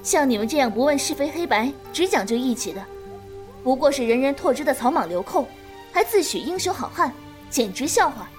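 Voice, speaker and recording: A woman playing a calm, principled, protective elder-sister swordswoman in a martial-arts audio drama. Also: high-pitched, fairly quick, faint music behind. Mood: stern, righteous. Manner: composed, coaxing, righteous lecturing.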